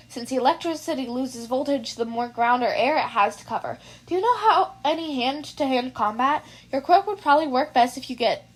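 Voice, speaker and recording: Woman voicing a nervous, high-voiced rambling teenage boy. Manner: rambling, apologetic, self-effacing. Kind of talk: fan fiction audiobook character voice.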